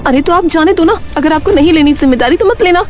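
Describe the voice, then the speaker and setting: A woman playing an indignant village wife in an audio story, sharp and questioning. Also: extremely high pitch, quick pace, soft background music. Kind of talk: Hindi audio story drama.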